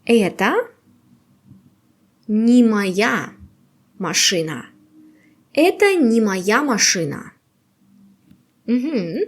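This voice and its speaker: A woman teaching a beginner language quiz, with patient, slow and carefully exaggerated pronunciation. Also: a little high, sing-song, husky.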